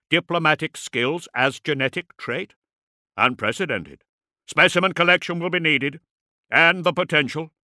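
A man's voice: fairly high pitch, pushed and bright, dry recording, clean studio sound, pitched above the performer's own voice. A man voicing an obsessive cyborg priest-scientist in an audiobook, muttering to himself in clipped, analytical bursts.

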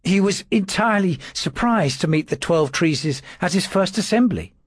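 A man voicing a timid, frightened male secretary who stammers in a high, strained voice. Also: lively intonation, moderate pace, slightly rough, no room sound, studio quality. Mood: nervous.

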